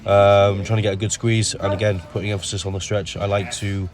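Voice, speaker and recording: A man with a nasal voice from a cold, explaining his gym workout in a relaxed voice-over full of ums. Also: on the low side, brisk. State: has a cold. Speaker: bodybuilder narrating his workout. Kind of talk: casual voice-over commentary.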